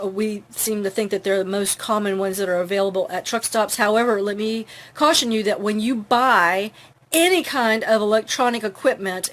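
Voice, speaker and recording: A woman's voice: mid-range voice, fairly bright tone. A middle-aged woman hosting an informal how-to talk, chatty, with many fillers. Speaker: host.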